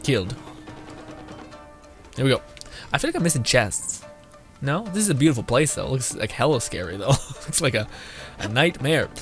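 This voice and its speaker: A man's voice: medium pitch, big pitch swings, normal speed, pauses often. A man giving casual, jokey gameplay commentary as a streamer, swearing freely and repeating catchphrases. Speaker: game streamer. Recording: game music behind the voice. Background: video game music.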